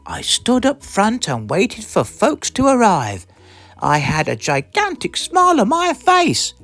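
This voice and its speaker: A British woman reading a children's storybook aloud in an expressive, theatrical way. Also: medium pitch, a little slow, faint music behind. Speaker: storybook reader. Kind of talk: animated scripted storybook narration.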